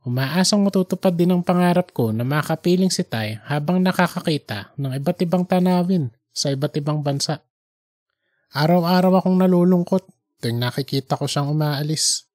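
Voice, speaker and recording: A performer acts out a man in his sixties in an audiobook drama, a devoted son. He sounds earnest and emotional, with a gentle, wistful temper. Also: mid-range voice, normal speed, no echo, studio quality, natural voice. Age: 60s.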